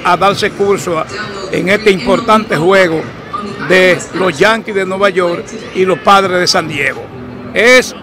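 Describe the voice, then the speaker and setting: An older man reporting on location from a baseball stadium, talking formally into a handheld mic, often stopping to restart phrases. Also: sits high. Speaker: field reporter. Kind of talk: on-site sports reporting.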